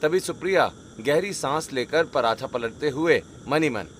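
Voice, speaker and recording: A man giving scripted narration for an animated family story as its storyteller. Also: a little high, unhurried, a little hiss or hum.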